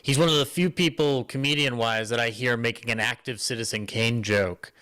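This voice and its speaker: A man's voice: mid-range voice, fairly even intonation, brisk, bright, forceful tone. A man speaking casually as a panelist, hesitant and often interrupting himself. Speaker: panelist introducing a discussion.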